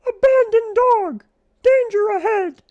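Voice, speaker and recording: A man voicing a tiny panicky tick from a children's storybook, shrill and frantically shouting an alarm. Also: slow pace, no echo, highs cut off. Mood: panicked.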